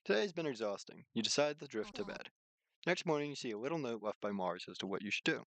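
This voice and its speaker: A man's voice: mid-range voice, expressive, fast talker, a bit bright, no echo. A man streaming a visual novel, reading its narration aloud with casual commentary and sometimes stumbling over lines and rereading them. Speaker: video game streamer.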